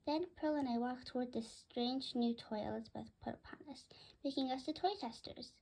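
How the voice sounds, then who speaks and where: A woman's voice: sits high, fairly quick, vocal fry, darkish tone, slight background noise, poor audio. A woman reading a story aloud, occasionally stumbling over words and correcting herself. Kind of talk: read-aloud story narration.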